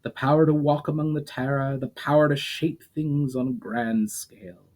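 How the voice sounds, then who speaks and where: A man's voice: medium pitch, fairly even intonation, normal speed, darkish tone, pitched above the performer's own voice, close to an everyday voice. A man reading a story aloud, voicing an ancient, scornful immortal demon who lectures coldly and derisively. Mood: cold lecturing.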